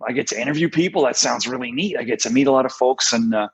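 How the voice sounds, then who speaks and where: A middle-aged American man, a podcast guest, telling his career story in a relaxed way with many fillers. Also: medium pitch, fast, husky. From United States. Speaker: guest, talent strategist. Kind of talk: podcast interview.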